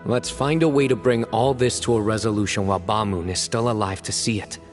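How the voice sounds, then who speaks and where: An actor playing a stern, resolute warrior judge in a video game, speaking bluntly and deliberately. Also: male voice, fairly low pitch, faint music behind, lightly acted. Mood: earnest, insistent.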